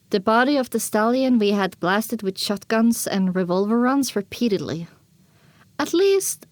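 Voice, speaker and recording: A woman narrating a first-person story as an audiobook, expressive and dramatic, on a close microphone. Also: a little high, dry recording.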